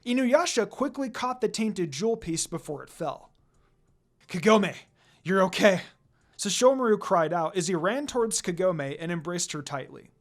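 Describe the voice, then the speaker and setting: A woman reading a scripted story as narrator, in a dramatic, expressive way. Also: fairly low pitch, moderate pace, hardly any pauses. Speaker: fan fiction narrator.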